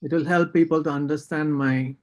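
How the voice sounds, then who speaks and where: A man's voice: fairly high pitch, steady tone, brisk, a little mellow. A man with an Indian accent, speaking as a doctor on a panel discussion, earnest and critical, with frequent hesitations. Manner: earnest, critical, with many fillers. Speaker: psychiatrist panelist.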